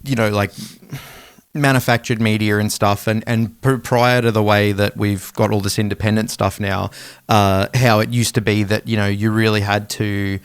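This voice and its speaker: A man's voice: lowish voice, little pitch movement, normal speed, clear, resonant tone, dry recording. An Australian man chatting casually as a music podcast co-host, with a matey, slangy way of talking. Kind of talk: casual chat.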